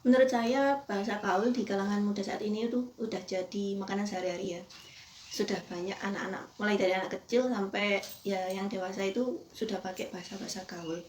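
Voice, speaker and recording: A woman in her twenties, a university student, answering interview questions in a relaxed, conversational way. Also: mid-range voice, quick pace, reverberant, a little hiss or hum. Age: 20s.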